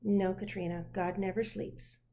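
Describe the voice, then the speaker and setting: A woman voicing a gentle, patient parent answering a child in a measured, reassuring way, from a picture book. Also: medium pitch, steady tone, slight background noise, pitched below the performer's own voice, lightly acted.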